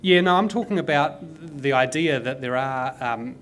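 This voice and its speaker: A man's voice: medium pitch, moderate pace, fairly bright tone, a little hiss or hum. A man with a New Zealand accent hosting a casual panel discussion, emphatic and opinionated, often saying "you know". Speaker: panel host.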